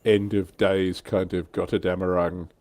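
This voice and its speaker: A man with a low, soft-edged, dark voice hosts a hobby livestream. He talks casually and conversationally, as among fellow enthusiasts. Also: little pitch movement, a little slow. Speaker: livestream host.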